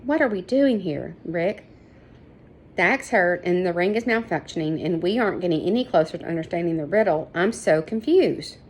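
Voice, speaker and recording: A woman's voice: lowish voice, lively intonation, normal speed, darkish tone. A woman voicing a curious, worried young girl in a storybook, earnest and questioning. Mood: discouraged. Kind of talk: storybook character voice.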